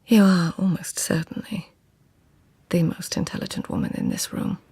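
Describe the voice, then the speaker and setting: An actress playing a proud, witty court lady in a radio drama, with formal, measured speech and a husky, creaky voice. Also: lowish voice, wildly swooping pitch, warm tone, exaggerated voice. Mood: composed, wry. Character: proud, witty Japanese court lady, deferential yet flustered. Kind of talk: radio drama acting with first-person narration.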